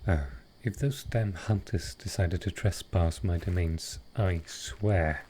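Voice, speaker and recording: A man voicing a gentle, courtly vampire lord in a roleplay audio drama, speaking softly and formally with halting care. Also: sits low, slight background noise. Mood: grim concern. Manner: soft, slow, formal, halting. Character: gentle old-world male vampire lord, protective and courtly.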